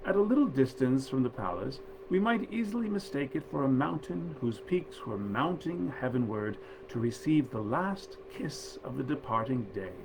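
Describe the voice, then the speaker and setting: A man reading nineteenth-century fairy tales aloud in a measured, expressive storyteller's manner. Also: mid-range voice, creaky, background noise. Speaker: actor reading stories aloud.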